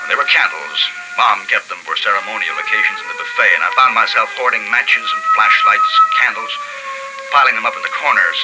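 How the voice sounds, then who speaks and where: An actor with an American accent playing a grave, haunted lone survivor in a 1950s radio drama, with a brooding, emphatic delivery. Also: male voice, lowish voice, brisk, natural voice, rough recording. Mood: haunted, bleak. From California. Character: solitary male survivor narrating a post-apocalyptic world, grave and haunted. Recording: old radio broadcast with music behind.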